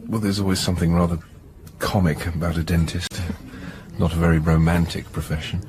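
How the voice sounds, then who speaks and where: An actor playing a genial, bashful young English dentist with an upper-middle-class accent and polite, hesitant manner in a radio drama. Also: male voice, low-pitched, little pitch movement, brisk, darkish tone. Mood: bashful, fond.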